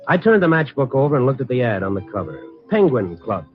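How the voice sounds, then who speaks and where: An American actor playing a wry, hard-boiled night-beat newspaper columnist in 1950s radio drama style, with streetwise, dramatic storytelling. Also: male voice, mid-range voice, big pitch swings, fast talker, natural voice. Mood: brooding. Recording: muffled vintage radio recording, music underneath.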